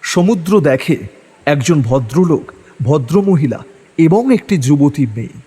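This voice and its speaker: Husky male story narrator in long reverb. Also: a little high, animated, measured pace, warm tone. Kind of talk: dramatic scripted horror-story narration.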